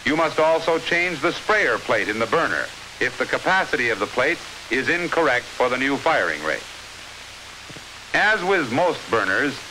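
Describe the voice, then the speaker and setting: An American man reading scripted 1950s instructional film narration in a measured, clearly enunciated, authoritative manner. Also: medium pitch. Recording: hissy 1950s film soundtrack.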